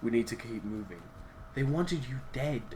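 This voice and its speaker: A man voicing a young female warrior student who speaks earnestly and pleads with her teacher, his voice lifted higher than his own. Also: animated, moderate pace, darkish tone, slight background noise, close to an everyday voice. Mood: quiet earnest. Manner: pleading, raised pitch.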